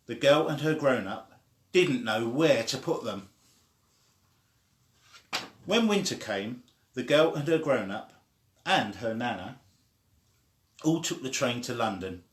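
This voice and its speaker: A man reading a children's story aloud in a deliberate, simply phrased way. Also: mid-range voice. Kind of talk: slow read-aloud storytelling. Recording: echoey room.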